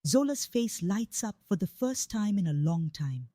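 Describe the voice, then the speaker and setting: A woman's voice: mid-range voice, sing-song, a little slow, mellow, no room sound, studio quality. A woman reading a scripted dramatic story as a storyteller.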